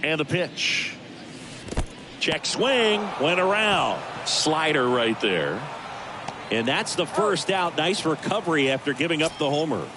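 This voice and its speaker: A man's voice: on the high side, sing-song, unhurried, noisy background. A man playing a baseball play-by-play announcer, calling the action in a video game's sports commentary. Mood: steady, attentive.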